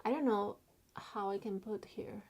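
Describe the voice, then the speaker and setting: Slow-talking woman from Spain. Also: medium pitch, steady tone, darkish tone. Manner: halting, many fillers, reads chat messages aloud. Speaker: artist live streaming while drawing. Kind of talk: casual live stream chat.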